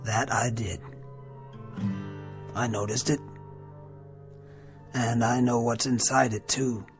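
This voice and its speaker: An actor playing a tough, impatient gunfighter in an old Western drama, blunt and clipped, with an American accent. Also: male voice, mid-range voice, fairly even intonation, slow pace. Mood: terse, grim. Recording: vintage TV drama audio with music.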